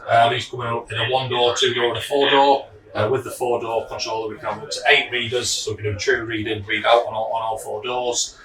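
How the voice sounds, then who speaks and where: A man walks through a product demonstration at a brisk pace, in an informal and practical style. His voice sits at an ordinary male pitch and is picked up from a distance in an echoey room. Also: flat delivery, a bit bright.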